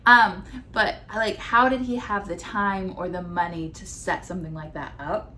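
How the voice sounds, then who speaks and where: A woman's voice: on the low side, normal speed, bright, forceful tone, a little hiss or hum. An American woman in her thirties chatting casually on a couch, acting out quotes in exaggerated voices as a co-host. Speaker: co-host of a TV discussion show. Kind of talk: casual chat. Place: living room, on a couch at home.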